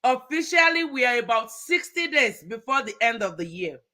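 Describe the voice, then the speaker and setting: A woman hosting a live motivational talk show, energetic and upbeat, often ending phrases with "right?". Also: on the high side, normal speed. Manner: energetic, repeats words, ends phrases with "right?". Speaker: host.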